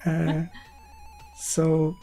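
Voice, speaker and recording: A man with a German accent hosting a casual live-stream interview in halting non-native English, searching for words. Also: on the high side, completely flat delivery, gravelly, mellow, faint music behind.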